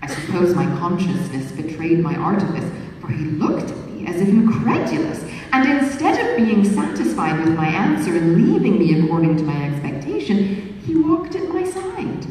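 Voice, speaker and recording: A woman reading a period novel aloud at a book launch, in an expressive, measured storytelling manner. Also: fairly low pitch. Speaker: author reading her book. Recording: echoey hall, distant mic, faint room noise.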